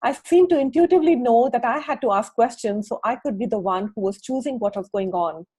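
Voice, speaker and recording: A woman co-hosting a book club, reading aloud from a book and adding informal comments in a husky voice. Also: on the high side, fairly quick, dark tone, poor audio.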